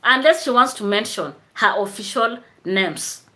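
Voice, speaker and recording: A woman's voice: mid-range voice, animated, a little slow, pushed and bright. A woman chatting casually on a live stream, greeting viewers by name and laughing often. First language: Swahili.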